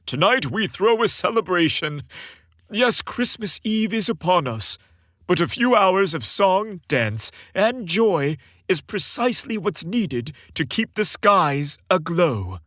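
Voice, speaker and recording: A booming old Santa Claus from a holiday storybook, acted out by a male narrator. He is jolly and larger than life, speaking with a very animated, swinging pitch in a dry, close recording. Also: slow, no room sound, full character voice. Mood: festive, commanding.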